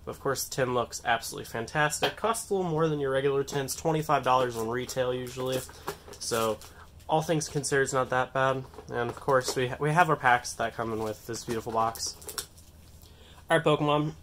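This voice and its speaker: A young man with a cold-stuffed, nasal voice talks in a loose, excitable way, often joking at his own expense. His pitch sits in an ordinary middle range. A faint room hiss runs underneath. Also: fairly quick. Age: young adult. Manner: excitable, rambling, self-mocking. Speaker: card-opening channel host. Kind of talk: casual unboxing vlog. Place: indoors at a table, hands on camera.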